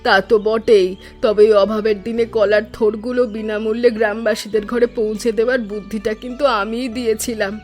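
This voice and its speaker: A woman voicing a compassionate old village wife in a cartoon story, homely and gently chiding. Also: fairly high pitch, level tone, brisk, natural voice. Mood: fond, content. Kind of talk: Bengali cartoon story voice acting. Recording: music behind the voice. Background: music.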